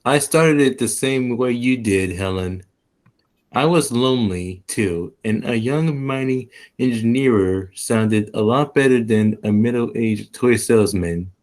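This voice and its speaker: A man playing a gentle, bashful middle-aged salesman in a 1940s-style radio play, with a hesitant, measured delivery. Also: mid-range voice, lightly acted. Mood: sheepish confessing.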